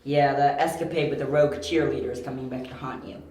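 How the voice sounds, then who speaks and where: A young detective in an amateur radio play, acted by a woman, brisk and sure in the questioning. The voice sits low and has an echoey room around it. The delivery is terse, probing and self-assured. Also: fairly even intonation, warm tone. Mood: assured, businesslike. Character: sharp, curious young detective, confident and brisk. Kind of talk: amateur audio drama acting. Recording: roomy, echoey home recording.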